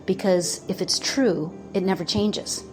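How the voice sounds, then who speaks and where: A woman in her fifties giving a slow, earnest motivational talk about manifesting, pausing often between phrases. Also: medium pitch, steady tone, darkish tone, faint music behind, rough recording. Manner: slow, pausing, earnest, quotes doubters in put-on voices. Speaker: manifestation coach.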